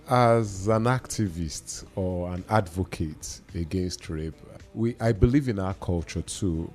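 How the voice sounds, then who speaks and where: A man with a Nigerian accent speaking as a panelist in a radio discussion, measured and emphatic in his phrasing. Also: lowish voice, darkish tone. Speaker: drama producer and activist.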